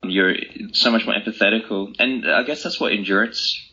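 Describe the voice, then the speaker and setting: A man with a deep voice and a very bright, forceful edge answers interview questions. He talks conversationally, in an earnest and reflective way. The recording is slightly muffled. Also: normal speed. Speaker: interview guest, author. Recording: slightly dull, lo-fi sound.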